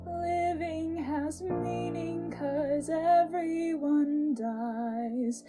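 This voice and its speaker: Girl as a wistful immortal woman singing really slow and high over loud piano, audio drama. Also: heavily acted. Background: piano music.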